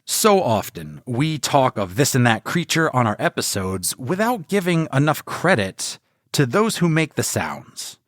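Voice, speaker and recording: A man's voice: mid-range voice, very animated, measured pace. A man hosting a podcast, reading a scripted intro and then chatting eagerly with his team, often tripping over his words. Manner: enthusiastic, self-deprecating, stumbles on words. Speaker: podcast host. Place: home closet.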